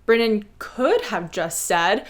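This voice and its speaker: A woman's voice: mid-range voice, expressive, slow. A woman hosting a video essay, narrating a scripted lesson and playfully addressing viewers like a schoolteacher.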